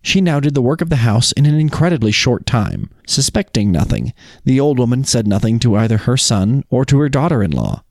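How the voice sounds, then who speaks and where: A man co-hosts a storytelling podcast with a lively, varied pitch. His voice sits at a middle height in a very dry recording. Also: a little fast, no echo. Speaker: podcast co-host. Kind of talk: casual chat and storybook reading of folk tales.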